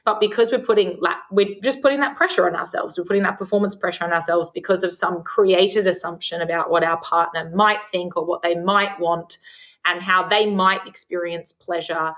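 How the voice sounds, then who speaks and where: A woman speaking as a guest sex therapist on a podcast, talking frankly about sex with lots of "like" fillers and a naturally loud voice. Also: lowish voice, steady tone, moderate pace. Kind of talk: casual podcast conversation.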